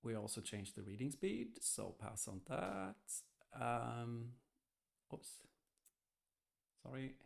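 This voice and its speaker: A man thinking aloud hesitantly as he gives a casual software testing walkthrough. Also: medium pitch, vocal fry. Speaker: software tester demonstrating. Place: indoors, screen-recorded voice-over.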